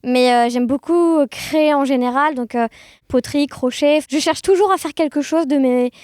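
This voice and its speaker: A woman's voice: high-pitched, moderate pace, darkish tone, no echo, clean studio sound. A cheerful woman chatting casually as a podcast guest, with an audible smile. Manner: cheerful, smiling. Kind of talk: casual personal interview.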